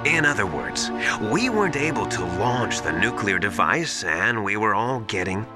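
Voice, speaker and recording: An actor playing a smug, mocking villain in a video game cutscene, drawing out words theatrically. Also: male voice, fairly low pitch, very animated, over loud music. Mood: smug, gloating. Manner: drawn-out, gloating, theatrical delivery. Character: scheming villain posing as a trusted mentor, smug and mocking.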